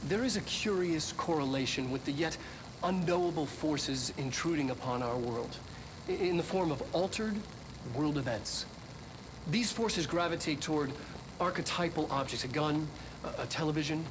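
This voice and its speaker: An actor playing an earnest scientist of the paranormal in a game, musing in a deliberate, searching lecture style. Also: male voice, on the high side, expressive, lightly acted. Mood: earnest, explanatory. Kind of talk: recorded scientific lecture to camera. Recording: clearly noisy background hum.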